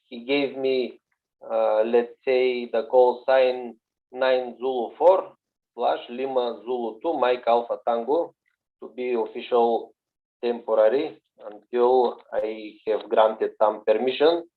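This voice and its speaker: A man with a Bulgarian accent talks slowly, stopping to search for the right words. His voice comes over a tinny, phone-like video call line. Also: mid-range voice, fairly even intonation. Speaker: radio amateur guest. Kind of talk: casual introduction on a video call.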